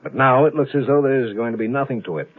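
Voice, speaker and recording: A man's voice: mid-range voice, fast talker, natural voice. An American man playing a worried, brusque but caring military doctor on a space station, in 1950s radio drama style. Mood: relieved, chatty. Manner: exasperated, authoritative. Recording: muffled lo-fi 1950s radio recording.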